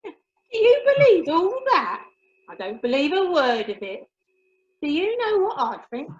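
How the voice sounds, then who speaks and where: A woman's voice: high-pitched, big pitch swings, measured pace. A woman playing a down-to-earth, sceptical mum in an amateur radio drama, recorded over a video call. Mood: sceptical, gossipy. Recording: echoey, dull video call audio.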